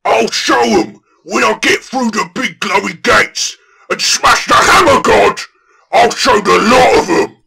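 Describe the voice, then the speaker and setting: A man voicing a huge, boastful, thick-headed orc warlord with a raspy, gravelly voice and crude slangy speech in a fantasy audiobook. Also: sits high, a little slow, background noise, pitched above the performer's own voice, heavily acted.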